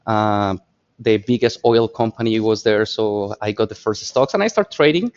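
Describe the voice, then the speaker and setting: A man in his thirties from Colombia with a Colombian Spanish accent, a podcast guest telling stories in lively, repetitive phrasing. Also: on the low side, normal speed, clean tone, dull audio. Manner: animated storyteller, repeats words while searching for phrasing. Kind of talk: casual interview talk.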